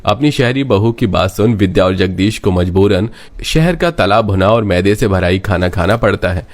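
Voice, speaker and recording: A man's voice: low voice, lively intonation, normal speed. A man reading scripted narration as the storyteller.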